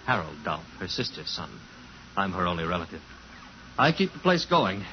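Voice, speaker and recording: An actor playing a snobbish, genteel and shifty gentleman in a 1950s radio drama, haughty yet nervous and evasive. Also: deep voice, very animated, normal speed. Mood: smooth, self-justifying. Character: genteel, snobbish, shifty male nephew, slender and pretty. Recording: dull, faintly noisy 1950s radio recording.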